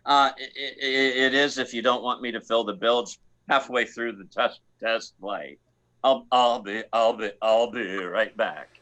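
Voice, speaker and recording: A man acts out a hapless slacker pilot in a comic radio play. His voice is medium-pitched and sharply bright. He stumbles over his words, sounding boozy and insubordinate. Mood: brazen, deadpan. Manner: halting, stammering, bumbling. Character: hapless, insubordinate, hard-drinking male slacker space pilot.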